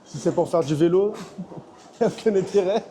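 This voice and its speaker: A man's voice: high-pitched, fairly quick, dark tone, slight background noise. A man in his thirties from French Guiana, a personal trainer chatting casually in a large gym, hesitant with many fillers. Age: 30s. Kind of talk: casual chat.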